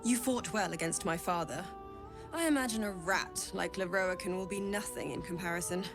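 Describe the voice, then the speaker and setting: A woman's voice: fairly low pitch, normal speed, music in the background, natural voice. A woman playing a caring, worried partner of a warrior in a fantasy video game, speaking earnestly and plainly.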